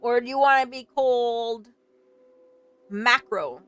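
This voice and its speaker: A woman's voice: fairly high pitch, slow pace, a bit bright, clean tone, no echo, studio quality. A woman livestreamer giving casual, playful and reactive let's-play commentary while chatting with her viewers. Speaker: livestreamer playing a visual novel.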